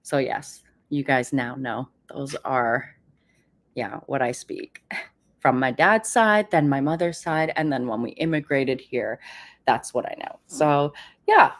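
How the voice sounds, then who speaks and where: A woman whose first language is Persian, hosting a livestream in a chatty, animated way, reading viewer comments and laughing often. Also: very deep voice, takes it slowly. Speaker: livestream host.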